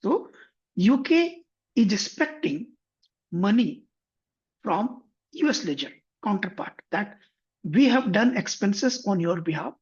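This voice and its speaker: A man teaching a software tutorial, walking through an example methodically and often correcting himself mid-sentence. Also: high voice, slightly rough. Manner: methodical, step-by-step, frequent self-corrections. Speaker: software instructor. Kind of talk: screen-share tutorial explanation. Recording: dull lo-fi recording.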